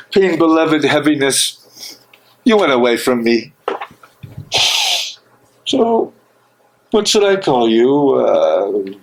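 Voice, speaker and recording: A man playing an anguished, brooding imprisoned theologian in a dramatic stage reading, speaking an inner monologue in halting, broken phrases. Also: medium pitch, a little hiss or hum, low-quality recording.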